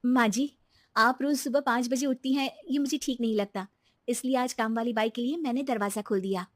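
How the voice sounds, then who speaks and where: A woman's voice: on the high side, fairly even intonation, brisk, no room sound, clean studio sound, lightly acted. A woman voicing an earnest, dutiful young daughter-in-law in a story, with a polite, pleading manner. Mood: respectful. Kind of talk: animated Hindi moral story dialogue.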